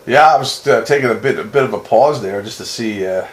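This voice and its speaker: A man's voice: medium pitch, a little fast. A man chatting casually and approvingly with fellow radio hobbyists as the host of a CB roundup, in an easygoing manner. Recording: roomy echo, faint background noise.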